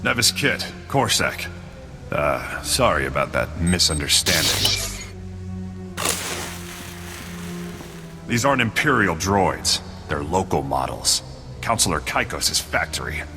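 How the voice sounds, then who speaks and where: An actor playing a defiant, plain-spoken guerrilla rebel leader and former police captain in a video game. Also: male voice, deep voice, very animated, unhurried, heavily acted. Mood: calmer. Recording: echoey voice under loud music. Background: loud game music.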